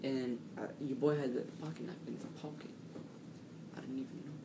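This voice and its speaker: A man telling a casual, animated story in slang, repeating himself and acting out what people said. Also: medium pitch, brisk. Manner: slangy, repetitive, acts out quotes. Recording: roomy, distant mic, clearly noisy.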